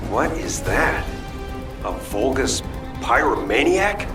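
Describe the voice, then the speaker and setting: A man's voice: mid-range voice, very animated, takes it slowly. A man playing a cocky, wry young soldier with a taste for cooking in a video game. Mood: curious, wry. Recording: roomy, distant, loud music and gunfire.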